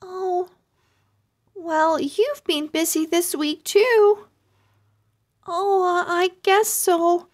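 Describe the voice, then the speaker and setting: Woman as a very high-pitched shy young owl girl. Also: steady tone, very slow, long pauses, full character voice. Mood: hesitant. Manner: hesitant, earnest.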